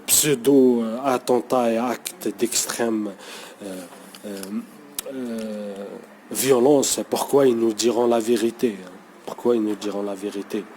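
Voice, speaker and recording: A man who is not a native speaker talks unscripted to camera in an unhurried, mellow voice. He halts often, restarts phrases and keeps asking whether you follow. Faint ambient noise sits behind him. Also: mid-range voice. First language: not French. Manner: hesitant, full of fillers and restarts, keeps saying "you see". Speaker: vlogger.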